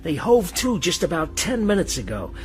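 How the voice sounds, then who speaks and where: An excited, high-voiced gleeful comrade. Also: male voice, moderate pace. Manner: animated, breezy, slangy. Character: excited, gleeful male ally reporting over the action. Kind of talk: radio drama acting. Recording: music faintly behind.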